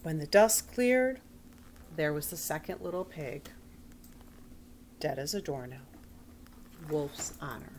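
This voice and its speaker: A woman's voice: sits low, big pitch swings, slow pace, pauses often, a little mellow, slight background noise, voice pushed down, full character voice. A woman voicing a defensive, sly wolf who tells his side of a storybook tale in a folksy, conversational way. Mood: self-excusing. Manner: folksy, conversational, exaggerated self-justifying. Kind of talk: storybook read-aloud in character.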